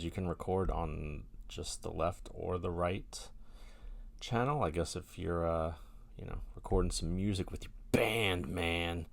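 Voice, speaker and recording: Low-pitched man, creaky fry, rambling jokey delivery, casual product demo. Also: darkish tone. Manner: rambling, jokey, self-mocking, colloquial. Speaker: host of a vintage gear video channel.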